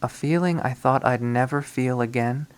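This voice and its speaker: A man's voice: medium pitch, moderate pace, a little hiss or hum, lightly acted. A man voicing a brooding, obsessive young woman killer. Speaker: visual novel reader. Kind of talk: dramatic first-person readthrough.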